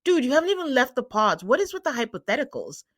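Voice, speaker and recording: A woman's voice: high voice, very animated, fast, fairly bright tone, no room sound. Woman podcast cohost, not a native english speaker, casual chatty, lots of um and like, dry close mic. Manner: chatty, laughs often, many fillers. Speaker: podcast co-host. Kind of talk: casual chat about a reality show.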